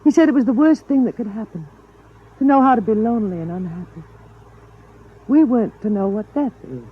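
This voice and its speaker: High, husky, serene android wife. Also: female voice, lively intonation, a little fast. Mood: wistful, composed. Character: serene, ageless artificial wife, gently wistful and composed. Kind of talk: 1950s radio drama acting. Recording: thin, phone-like 1950s radio recording.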